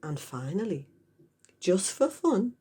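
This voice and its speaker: Very animated, slow-paced woman storyteller. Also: medium pitch, breathy edge. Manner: expressive, dramatic emphasis. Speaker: storybook reader. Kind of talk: animated scripted children's story narration.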